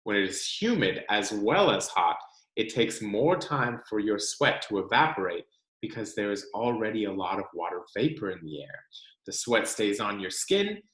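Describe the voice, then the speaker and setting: An American man reads a children's picture book aloud with expressive, deliberate storyteller phrasing and the occasional casual aside. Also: on the low side. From California, United States. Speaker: read-aloud host, teacher reading to children. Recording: echoey room.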